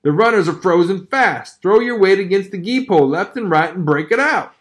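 A man's voice: high-pitched, animated, brisk, voice pushed up. A folksy frontier man, voiced by a male narrator drawls with country words. He sounds wry and plainspoken. It is a storybook reading.

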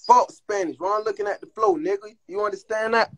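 A young man hosting a phone livestream from a small indoor room, talking in brash, swearing, quick banter. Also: high-pitched, fairly even intonation. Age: young adult. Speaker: livestream host. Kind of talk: casual livestream chat. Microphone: phone held close to the face.

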